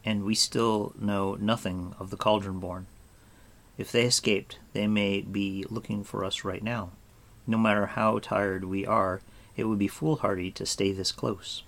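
A man voicing an earnest, stern young hero in an audiobook, firm, serious and quick to scold. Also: on the low side, flat delivery, a bit bright. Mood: weary, wary. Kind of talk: audiobook character voice.

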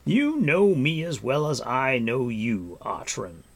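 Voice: medium pitch, sing-song, slow pace, gravelly, breathy edge, pitched above the performer's own voice, heavily acted. A narrator voicing a proud, defiant male warrior lord for an audiobook, declaiming with force and scorn. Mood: accusing. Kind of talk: audiobook character voice.

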